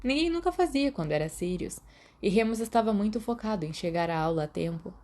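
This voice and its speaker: A woman with a Brazilian accent, calmly reading a fan fiction audiobook aloud. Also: medium pitch, a little fast. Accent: Brazilian Portuguese. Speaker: podfic reader.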